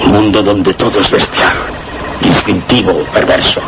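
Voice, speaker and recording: An actor playing an erudite, grave scholar in a Spanish radio suspense drama, speaking Castilian Spanish in a measured, didactic way. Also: male voice, low-pitched, heavy background noise, close to an everyday voice. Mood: grave, ominous. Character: erudite male scholar friend, grave and explanatory.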